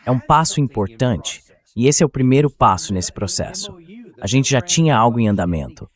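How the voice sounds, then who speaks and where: A man's voice: medium pitch, lively intonation, normal speed. A man with a Brazilian accent reading a translated voice-over of political news commentary as the show's host.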